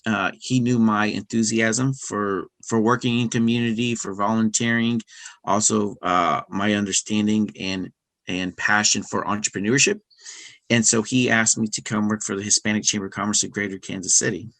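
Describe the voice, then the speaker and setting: A middle-aged man speaking as a podcast guest, talking casually with many fillers, restarts and soft laughs. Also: fairly low pitch, fairly even intonation, normal speed, no echo. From United States. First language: English. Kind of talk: casual interview conversation.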